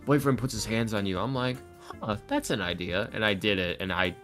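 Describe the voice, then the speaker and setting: A man's voice: lowish voice, a little fast, a bit bright, faint music behind. A man chatting casually on a podcast as a creator of audio roleplay videos, talking in a self-deprecating way about his own work.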